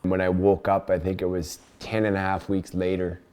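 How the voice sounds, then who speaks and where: Plainspoken deep-voiced man in his thirties. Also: completely flat delivery, normal speed, a little mellow, clean tone. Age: 30s. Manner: plainspoken, matter-of-fact, casual. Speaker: interviewee. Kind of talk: documentary interview.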